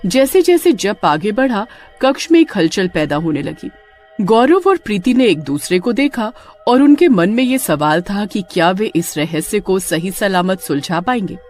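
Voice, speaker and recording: Expressive woman narrating a dramatic story. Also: medium pitch, normal speed, faint music behind. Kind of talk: scripted Hindi story narration.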